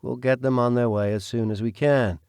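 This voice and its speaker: A man voicing a steady, protective man who speaks calmly and firmly. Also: mid-range voice, moderate pace, clean tone, no room sound, clean studio sound, natural voice. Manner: calm, firm.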